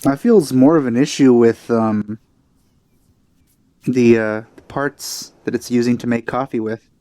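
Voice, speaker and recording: A man's voice: medium pitch, a little slow, warm tone, no echo. A man giving casual, off-the-cuff commentary as he plays a video game, speaking into a headset. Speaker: let's player. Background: game music.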